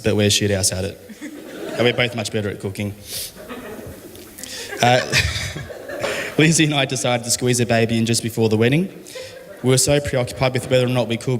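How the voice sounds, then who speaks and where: A man with a voice hoarse from a cold, giving a deadpan groom's speech. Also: medium pitch. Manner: dry, deadpan humour. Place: wedding reception hall. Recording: hall reverb, crowd behind. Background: wedding guests.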